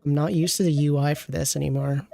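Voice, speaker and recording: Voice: medium pitch, fairly even intonation, fast talker, creaky, mellow, no echo. A game streamer reviewing an account with casual commentary, thinking aloud and often trailing off mid-thought.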